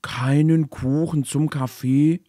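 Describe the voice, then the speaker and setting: A man voicing a gentle, sad male horse with short legs, speaking plaintively in a storybook tale. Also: fairly high pitch, steady tone, takes it slowly, no room sound, clean studio sound, pitched above the performer's own voice. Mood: concerned. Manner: plaintive, pitying.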